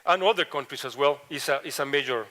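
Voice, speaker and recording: Latin American-accented professor, bright voice. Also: male voice, medium pitch. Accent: Latin American Spanish. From Mexico and Ecuador. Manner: halting, many fillers and restarts. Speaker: professor, panel speaker. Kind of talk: conference panel presentation.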